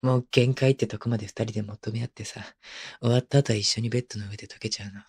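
A man playing a tender, clingy, teasing young husband in an intimate ASMR voice drama, speaking softly and playfully close to the microphone. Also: on the low side, fairly even intonation, quick pace. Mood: coaxing, intimate. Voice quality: soft, breathy. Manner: murmuring, playful, coaxing. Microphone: close microphone, binaural.

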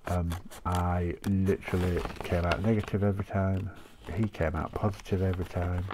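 A man's voice: low voice, flat delivery, normal speed, background noise. A man with a chesty cough, chatting in a rambling way and laughing often while cutting paper at his craft desk. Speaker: craft channel host. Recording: paper crinkling close by.

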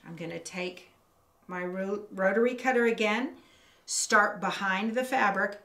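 A woman's voice: mid-range voice, measured pace, bright, forceful tone. A middle-aged woman giving a hands-on demonstration as an instructor, explaining methodically step by step. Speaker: quilting instructor. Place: indoor craft studio at a cutting table. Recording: roomy echo, clear background noise.

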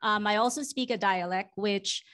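A woman's voice: medium pitch, normal speed. A woman in her forties from the Philippines, Filipino her first language, speaking as a guest on a conference stage with a handheld mic, reflective and full of ums. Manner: warm, reflective, many ums. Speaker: panel guest. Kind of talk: fireside chat on stage. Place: conference stage before a live audience.

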